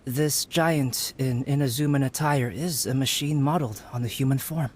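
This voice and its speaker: An actor playing a composed, erudite young scholar in a video game, measured, articulate and formal. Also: male voice, mid-range voice, husky, lightly acted. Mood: composed, analytical. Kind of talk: scripted video game voice acting.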